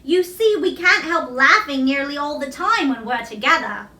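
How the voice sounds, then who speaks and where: A woman voicing an earnest, excitable young boy in a children's storybook, with an eager, wondering way of talking. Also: high voice, normal speed. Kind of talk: children's storybook read-aloud. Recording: roomy echo, distant microphone.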